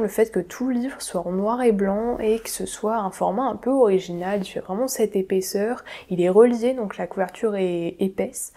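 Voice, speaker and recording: Chatty young woman with a mellow voice. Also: medium pitch, gravelly. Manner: chatty, self-deprecating, many fillers and restarts. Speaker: book vlogger. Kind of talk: casual book review talk. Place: home room, indoors.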